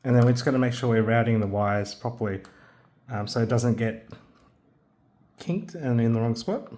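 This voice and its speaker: A man narrating a hands-on assembly tutorial in a casual, careful, unscripted way, pausing as he works. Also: medium pitch, darkish tone. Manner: unscripted, careful, halting while working with hands. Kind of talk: casual step-by-step instructional narration. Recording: background noise with clicks and handling sounds.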